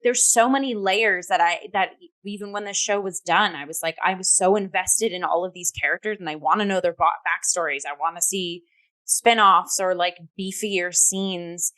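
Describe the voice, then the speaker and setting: A woman co-hosting a theatre talk, speaking casually and informally in a halting, loosely built conversational flow. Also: fairly low pitch, sharp tone. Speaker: talk show co-host and theatre reviewer.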